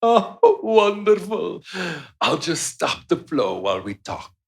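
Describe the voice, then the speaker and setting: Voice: mid-range voice, sing-song, slow, gravelly, exaggerated voice. A gloating, wildly swooping ancient vampire doctor. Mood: gloating, menacing. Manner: theatrical, gloating, menacing, cackling delivery. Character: gleeful, greedy, centuries-old vampire doctor. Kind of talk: live radio play acting.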